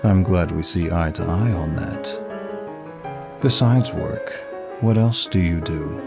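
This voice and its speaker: A man playing a suave, seductive demon of lust in an intimate audio roleplay, flattering the listener in a formal, flirtatious manner. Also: deep voice, full character voice. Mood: curious, flirtatious. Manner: smooth, flirtatious, formal, unhurried. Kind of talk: intimate ASMR-style roleplay, one side of a dialogue. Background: music.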